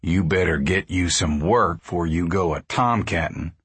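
Gruff country patriarch, acted by a male reader: stern, distrustful, low-pitched, drawl. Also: a little slow, no echo. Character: stern, suspicious, grumbling middle-aged farmer father.

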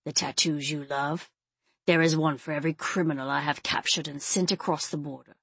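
Grim protective enforcer, male played by a woman, low growling delivery, measured and stern, audiobook. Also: fairly even intonation, a bit bright, breathy edge, dry recording, heavily acted. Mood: intense, restrained. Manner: grave, measured. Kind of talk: audiobook character acting.

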